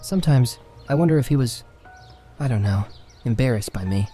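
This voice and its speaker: A troubled, defiant orphan boy, acted by a man in a fantasy audio drama. The voice is dark and soft-edged at an average male pitch, halting and defensive, with music behind it. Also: animated, natural voice. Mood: hurt, frustrated. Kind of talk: narrative fantasy horror audio roleplay.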